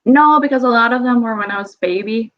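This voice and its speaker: A young woman from Wisconsin with a Wisconsin accent, chatting as a podcast guest, giggly and full of fillers. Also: on the high side. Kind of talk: casual interview chat. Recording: dull lo-fi sound.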